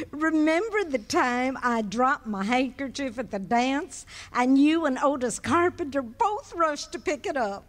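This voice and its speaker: A cheerful wife who teases her husband with a knowing, bouncing voice that rises and falls freely, as an actress plays her. She talks at an unhurried pace and slightly high pitch in a live stage radio play. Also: expressive. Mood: teasing. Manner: teasing, with frequent laughter. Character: cheerful teasing wife, good-natured and knowing.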